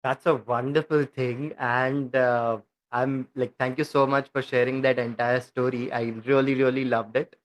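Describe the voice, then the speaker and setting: A man's voice: mid-range voice, little pitch movement, moderate pace, poor audio. A man hosting a podcast interview, polite and formal, thanking his guest at length with some fillers. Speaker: podcast host.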